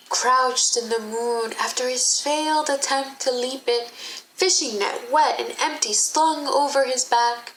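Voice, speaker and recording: Woman, higher voice, dramatic poem recital, very slow and measured, faint background noise. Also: fairly bright tone, low-quality recording. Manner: deliberate, measured phrasing with pauses. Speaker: performer reading a poem. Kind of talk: dramatic poetry reading.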